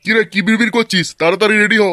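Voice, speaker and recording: A man's voice: high-pitched, steady tone, racing, bright, forceful tone. A man voicing a brash, stingy, flirtatious middle-aged husband and father in a comedy cartoon, full of crude sarcastic banter. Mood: bossy. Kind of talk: comic cartoon dialogue.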